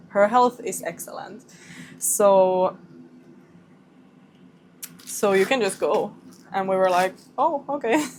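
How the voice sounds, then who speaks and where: A woman's voice: lowish voice, a little mellow, slight background noise. A young woman with a Swedish accent, Swedish her first language, chatting casually to camera in her home kitchen as a vlogger and often rephrasing herself.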